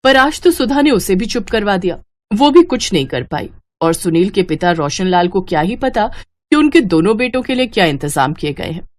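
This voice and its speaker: A woman reads scripted narration for an animated family story. She works as the storyteller, in a voice of middle pitch. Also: normal speed.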